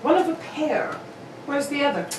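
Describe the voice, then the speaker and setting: A woman playing a shrewd, probing gentleman detective in a formal radio-play style. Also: sits high, normal speed, lightly acted. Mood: brisk, thoughtful. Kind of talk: amateur radio-play acting. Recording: roomy, distant, noisy live recording.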